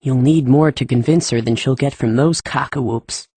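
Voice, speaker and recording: A woman's voice: a bass voice, normal speed, dark tone, husky, no echo, pitched below the performer's own voice. A woman voicing a blunt, plain-spoken young male gardener who talks in clipped, folksy words, reading a storybook.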